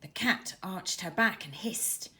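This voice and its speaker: A woman narrating a scripted children's story in a dramatic, expressive manner. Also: mid-range voice, slow pace. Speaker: author narrator.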